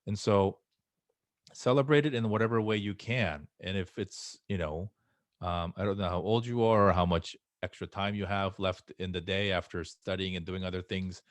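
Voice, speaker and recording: An American man in his late thirties speaks in a very deep voice on a close, dry recording. He answers questions earnestly, often turning to rhetorical questions as he makes his case. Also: steady tone, normal speed, no echo. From Korea, moved to the United States at eight. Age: late 30s. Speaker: guest speaker. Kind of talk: answering audience questions in an online talk.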